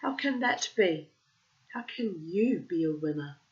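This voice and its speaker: A woman voicing a fierce lioness in a children's story, speaking loudly and indignantly in a raised voice. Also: lowish voice, expressive, measured pace, muffled recording. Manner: loud, indignant.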